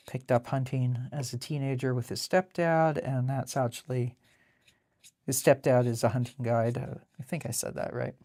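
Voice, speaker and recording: A woman with a low contralto voice hosts a casual live drawing stream. She rambles in an easy conversational style, often interrupting herself mid-thought. The sound is dry, with very little room echo. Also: warm tone. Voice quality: deep contralto voice. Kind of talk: casual chat while drawing.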